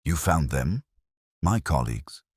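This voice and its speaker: A man voicing a fragile, fearful actress with lost memory in an audiobook, in short halting phrases. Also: extremely low pitch, very animated, slightly rough, no room sound, clean studio sound, heavily acted. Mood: shaken. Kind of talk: audiobook character dialogue.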